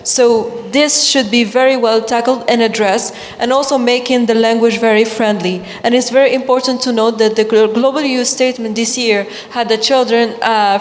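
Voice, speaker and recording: A young woman from Morocco whose first language is Arabic, speaking earnestly on a panel and often restarting her words. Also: fairly high pitch. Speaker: panelist, youth climate ambassador. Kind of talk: panel discussion. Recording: long hall reverb.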